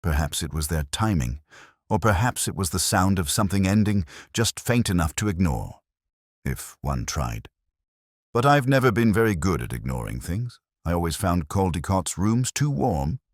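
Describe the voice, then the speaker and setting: A man narrating a first-person detective story in a measured, literary, brooding manner with a husky voice. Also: deep voice, very animated, no echo, clean studio sound.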